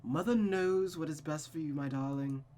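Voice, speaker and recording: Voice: medium pitch, normal speed, a little mellow, slight background noise, lightly acted. A performer voicing a cold, manipulative mother who coaxes and dominates her child with silky endearments in a visual novel. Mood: sweetly coaxing.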